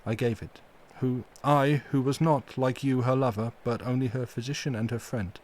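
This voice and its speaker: A man voicing an elderly, stern but tender foreign professor who speaks in solemn, formal, halting phrases. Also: a little high, animated, voice pushed up, natural voice.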